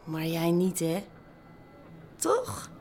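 A woman's voice: fairly low pitch, huge pitch swings, extremely slow, a little mellow, a little hiss or hum, exaggerated voice. A woman playing a brash, teasing window prostitute in a radio drama, streetwise and direct. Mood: probing, teasing. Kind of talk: naturalistic Dutch radio drama acting.

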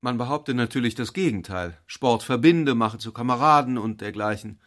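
A man reading literary narration for a radio drama in a measured, articulate way. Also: medium pitch, no echo, studio quality.

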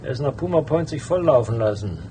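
An actor playing a dry, laconic, world-weary private detective in a radio drama, with a gravelly voice. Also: male voice, medium pitch, lively intonation, fast talker, warm tone, slight background noise, natural voice, poor audio. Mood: terse, insistent. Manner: laconic, sardonic. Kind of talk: radio drama dialogue and first-person narration.

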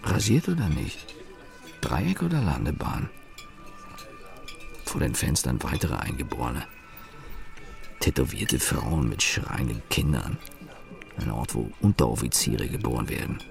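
Casting: jaded engineer, low gravelly voice, dry radio-play inner monologue in fragments. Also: male voice, sing-song, a little slow, long pauses, soft background music, exaggerated voice. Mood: bitter, contemptuous. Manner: clipped fragmentary sentences, sardonic. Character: weary, cynical male office engineer, brooding inner monologue.

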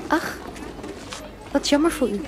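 An actress playing a modest, naive young niece in a Dutch radio drama, polite, earnest and inquisitive. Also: female voice, high-pitched, lively intonation, unhurried. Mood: curious, polite.

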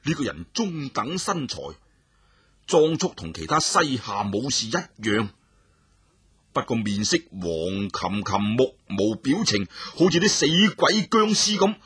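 A man telling a traditional story with expressive, animated narration. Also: mid-range voice, unhurried, slightly rough.

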